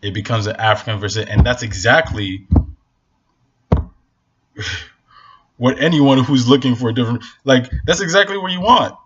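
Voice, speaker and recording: A man's voice: mid-range voice, expressive, normal speed, long pauses, fairly bright tone, slightly rough, dull audio. A man from Northern Nigeria, a native Hausa speaker, giving a blunt, impassioned opinion rant to camera in a casual vlog. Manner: blunt, impassioned, repeats for emphasis. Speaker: vlogger.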